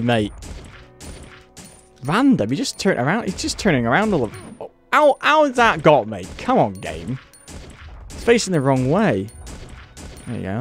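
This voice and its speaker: A man with a British accent giving casual, wry commentary while playing a game and laughing at it. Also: on the high side. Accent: British English. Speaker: let's play host.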